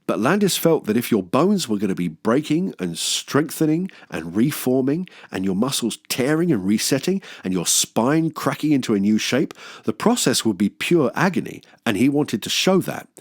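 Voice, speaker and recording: A man's voice: mid-range voice, no echo, clean studio sound. A man hosting a film review, delivering scripted commentary with wry, enthusiastic jokey asides. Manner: enthusiastic, wry, breaks into chuckles.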